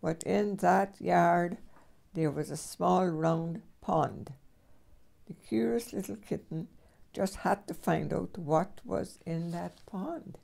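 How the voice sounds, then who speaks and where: An older woman reading a children's storybook aloud as a great-grandmother, with expressive storyteller phrasing. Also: lowish voice, slow, gravelly.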